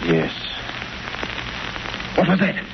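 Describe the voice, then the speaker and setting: An actor playing a nervous, apprehensive criminal accomplice in a 1930s radio drama. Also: male voice, extremely slow. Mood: uneasy. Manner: jittery, apprehensive. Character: nervous male accomplice in crime, uneasy. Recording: muffled 1937 radio recording, hissy.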